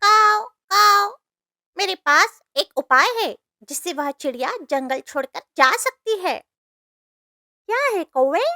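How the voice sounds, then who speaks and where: A woman acts out a fretful, scheming cartoon bird. The voice is extremely high, sharp and bright, urging and exclaiming, with a very dry sound. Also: lively intonation, slow pace, dry recording, full character voice. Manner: urgent, exclamatory, persuasive. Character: squeaky, alarmist forest birds, scheming and pleading. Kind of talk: Hindi cartoon story voice acting.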